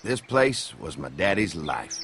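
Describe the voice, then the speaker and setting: An actor playing a folksy, friendly young farmer with a drawling Southern American accent in a video game. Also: male voice, low-pitched, sing-song, a little slow, fairly bright tone, slight background noise, exaggerated voice. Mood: wistful.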